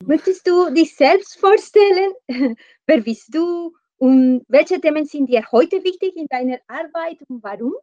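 A woman's voice: high-pitched, expressive, a little slow, mellow, no room sound. A woman hosting an interview show, introducing and questioning her guest, on a slightly dull, dry recording. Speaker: host.

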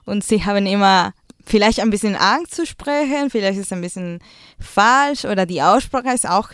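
A woman's voice: mid-range voice, smooth, no room sound, studio quality. A young woman with a Peruvian Spanish accent, a native Spanish speaker, answering in a casual interview while searching for her German words. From Peru. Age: young adult. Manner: hesitant, searching for German words with many fillers. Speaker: guest, school volunteer.

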